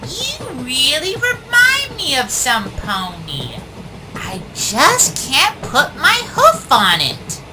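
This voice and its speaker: Excitable bookish girl pony, cartoon delivery, very high voice, unhurried pace, dramatic emphasis. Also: full character voice. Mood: curious, puzzled. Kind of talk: animated cartoon voice acting. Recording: distant mic with faint noise.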